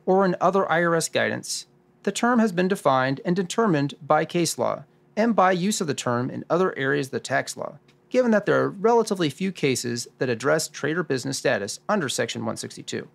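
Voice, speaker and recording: Noir radio drama: dry male expert, weary and gravelly, highly animated pitch, explains deliberately man acting. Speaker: host.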